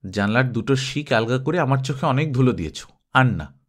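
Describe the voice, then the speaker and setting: A man's voice: mid-range voice, expressive, a little fast, natural voice. A storybook old grandmother from a village, acted out by a male narrator. She scolds with great emotion and a tearful edge. Underneath the threats she is doting. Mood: stern. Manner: loud, emotional scolding wail.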